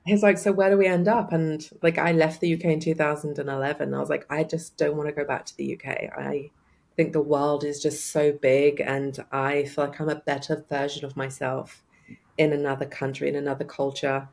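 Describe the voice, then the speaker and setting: A woman's voice: deep voice, level tone, a little fast, creaky, a little mellow. A woman in her thirties with an English accent, a guest on an interview podcast telling her story in an upbeat, chatty way and laughing often. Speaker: guest, life coach. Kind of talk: casual interview chat.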